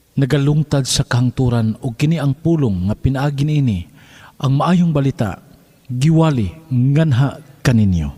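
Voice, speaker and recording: A man's voice: mid-range voice, a little mellow, slightly rough. A man reading Bible verses as a scripted narrator, in Cebuano. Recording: long reverb with music underneath.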